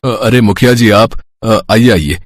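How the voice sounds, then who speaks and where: A man's voice: fairly low pitch, a little slow. A man voicing a weary, humble poor potter father in an acted moral story. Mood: flustered.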